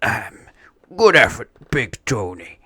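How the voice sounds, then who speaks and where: Storybook pirate captain, played by a male reader: jovial, hearty, forceful and bright, full of salty arrs. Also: medium pitch, big pitch swings, slow, husky, pitched above the performer's own voice, heavily acted. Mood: jovially unimpressed.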